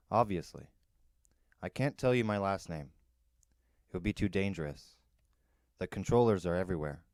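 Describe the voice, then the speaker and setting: A man's voice: low-pitched, a little mellow. A man narrating a young adult novel as a storyteller, in a conversational first-person manner. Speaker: podcast host and storyteller.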